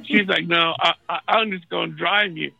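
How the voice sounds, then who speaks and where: A man with a raspy voice, thirsty and unfed in a hospital room, telling a story in a laid-back, slangy way. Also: on the high side, steady tone, normal speed, pushed and bright, dry recording, highs cut off. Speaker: guest calling in from a hospital bed. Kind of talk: casual storytelling chat.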